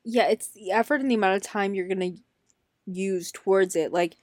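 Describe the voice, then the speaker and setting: A woman's voice: medium pitch, fairly quick, darkish tone, dry recording. Woman in her twenties, emphatic slangy co-host, very dry close mic. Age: 20s. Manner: emphatic, slangy, says "bro". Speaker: podcast co-host. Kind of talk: casual chat.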